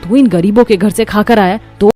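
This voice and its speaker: A woman's voice: mid-range voice, brisk, mellow, soft background music, natural voice. A woman voicing an angry, rich older grandmother in a cartoon story, scolding and accusing with contempt. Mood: furious. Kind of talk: Hindi cartoon moral story dialogue.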